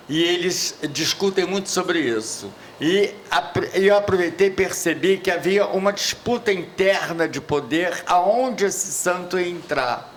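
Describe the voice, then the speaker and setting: A man's voice: a little high, fairly bright tone, slight background noise. Older man reminiscing at a panel. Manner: reminiscing, hesitant, searching for words. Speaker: audience member asking a question. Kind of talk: rambling spontaneous question at a panel. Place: lecture hall at a public event.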